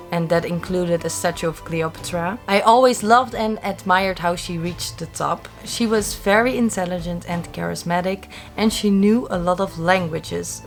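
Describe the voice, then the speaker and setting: A young woman who hosts a mystery channel, telling history in a friendly, chatty way with wry little asides. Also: on the low side. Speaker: YouTube host of a mystery channel. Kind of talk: casual scripted talk to camera.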